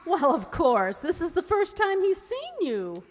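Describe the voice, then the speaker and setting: A woman playing a self-possessed young woman, brisk and assured, in an old-time radio comedy. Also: very high-pitched, very animated, exaggerated voice. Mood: composed, teasing. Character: plucky, self-possessed young woman guarding her baby. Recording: echoey hall stage recording.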